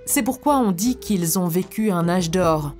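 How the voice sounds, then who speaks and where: A woman voicing a serene, earnest spiritual teacher in an animated story, instructing in a solemn, measured manner. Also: fairly low pitch, faint music behind. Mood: solemn, instructive. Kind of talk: scripted didactic cartoon dialogue.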